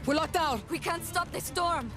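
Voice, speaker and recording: An actress playing an earnest, anxious rebel ally in a video game cutscene, speaking in urgent, clipped phrases. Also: female voice, very high voice, moderate pace. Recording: loud music under the voice.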